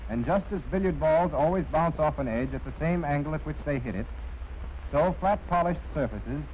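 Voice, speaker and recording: An American man reading scripted instructional narration in a formal, measured, precisely enunciated way. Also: fairly high pitch, expressive, darkish tone. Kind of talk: scripted training film narration. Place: narration recorded for an archival film. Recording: dull, noisy 1940s film soundtrack.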